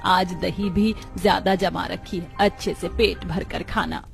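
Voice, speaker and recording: A woman voicing a caring, homely village wife and mother in a cartoon story, gently fussing. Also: on the low side, fairly even intonation, a little slow, gravelly, faint music behind. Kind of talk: Hindi cartoon story acting.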